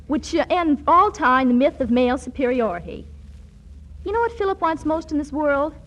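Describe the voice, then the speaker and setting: High-voiced, know-it-all young girl. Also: big pitch swings, moderate pace, a bit bright, lo-fi sound. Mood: dry, superior. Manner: bookish big words, dry wisecracks. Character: precocious, blunt young girl scientist, worldly and sardonic. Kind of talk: 1940s radio comedy acting.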